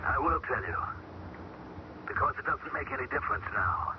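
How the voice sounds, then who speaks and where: An actor doing an eerie, calmly possessed man. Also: mid-range voice, lightly acted. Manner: calm, measured, searching for words. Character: eerie man, taken over by an alien mind, calmly strange. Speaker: radio drama actor. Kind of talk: 1970s radio drama acting. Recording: dull old radio broadcast with loud hiss.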